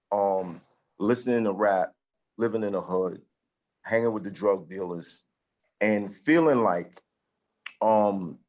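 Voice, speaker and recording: A man's voice: on the low side, lo-fi sound. A man speaking as a guest in casual talk, earnest and reflective, often repeating words as he searches for them. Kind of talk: casual chat.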